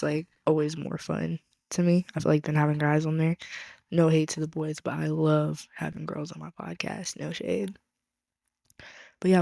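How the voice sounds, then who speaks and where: American woman, podcast host, casual upbeat chat, gravelly fry, dry close sound. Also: low voice, warm tone, no echo. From United States. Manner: chatty, upbeat, slangy.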